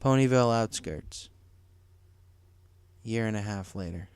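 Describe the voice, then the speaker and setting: A man's voice: lowish voice, unhurried, darkish tone, clear, resonant tone, dry recording. A man narrating a scripted story as an audiobook reader, in a dramatic storytelling manner. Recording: music behind the voice.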